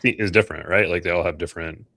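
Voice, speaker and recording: A man's voice: low-pitched, fast talker, creaky, pushed and bright, dry recording. An American man hosting a casual interview, talking in an informal, joking way. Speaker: podcast host.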